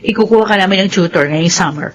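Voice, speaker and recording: An actress playing a strict, domineering mother in a radio drama, scolding in a sharp, impatient, commanding way. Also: fairly low pitch, fast talker, slight background noise, close to an everyday voice. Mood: stern, insistent. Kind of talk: Filipino radio drama acting.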